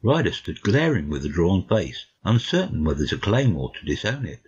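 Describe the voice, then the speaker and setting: A man with a husky voice giving a scripted narration of a classic story for an audiobook, slightly muffled. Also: deep voice, very animated, normal speed, a little mellow.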